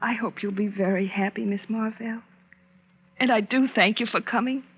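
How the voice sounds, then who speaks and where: An actress playing a fragile, devoted, tragic invalid wife in a melodramatic, pleading 1940s radio drama style. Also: female voice, on the high side, fairly even intonation, normal speed, breathy edge. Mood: gracious. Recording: thin, tinny 1940s radio recording.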